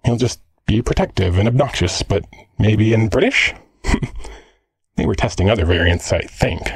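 A man's voice: fairly low pitch, expressive, moderate pace, breathy edge, no room sound. A man playing a teasing, jealous, slangy android boyfriend in an ASMR roleplay. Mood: wry, explaining. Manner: cheeky, slangy, sardonic.

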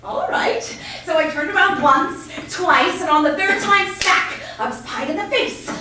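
A young woman giving a playful, animated comic storytelling speech at a contest, using a handheld mic. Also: extremely high pitch, moderate pace, background noise. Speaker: contest speaker. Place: large hotel ballroom. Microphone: handheld mic, far camera. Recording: echoey hall from far off.

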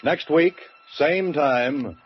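A male radio announcer giving a formal, measured announcement. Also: on the high side, husky. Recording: muffled 1940s radio with music.

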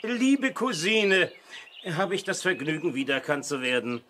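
Jovial, teasing uncle, lively high-pitched delivery. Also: male voice, moderate pace. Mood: charming, breezy. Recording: 1970s radio play, birdsong noise behind. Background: birdsong.

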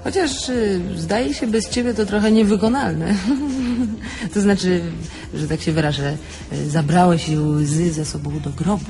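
An actress playing the mocking, blunt and crude-tongued soul of a dead young thief in a theatrical tragifarce. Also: female voice, on the low side, a little slow, dark tone, faint music behind. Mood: ironic.

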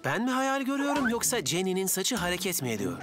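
A man's voice: high-pitched, sing-song, quick pace. A man playing a friendly, earnest young apprentice builder in a children's cartoon dub. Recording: loud music behind the voice.

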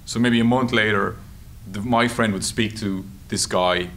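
An Irish man answering interview questions in a halting, informal, anecdotal way at a table microphone. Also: lowish voice. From Ireland. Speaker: journalist and author being interviewed. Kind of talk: conversational interview answers at a public discussion. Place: meeting room at a table. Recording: steady background room noise.

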